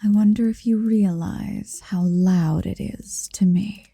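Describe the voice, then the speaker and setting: A woman voicing a possessive, seductive motherly dragon in an intimate ASMR roleplay, breathy and whispery, speaking slowly and deliberately close to the microphone. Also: medium pitch, fairly even intonation, mellow, no room sound, heavily acted. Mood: tender, teasing. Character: possessive, seductive motherly female dragon hoarding her captive. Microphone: close microphone, dry.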